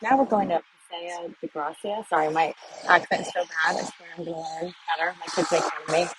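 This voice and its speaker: A woman's voice: on the low side, steady tone, a little fast. Chatty woman on a walk. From United States. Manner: chatty, rambling, thinking aloud. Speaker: vlogger. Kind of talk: casual walking vlog narration. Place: outdoors on city streets. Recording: faint street noise behind.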